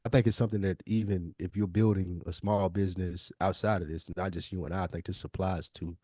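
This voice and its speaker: A man with a somewhat low voice speaks as a podcast co-host, recorded very dry. His delivery is informal and conversational, repeating phrases for emphasis as he gives advice. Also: fairly even intonation, brisk, mellow, no room sound.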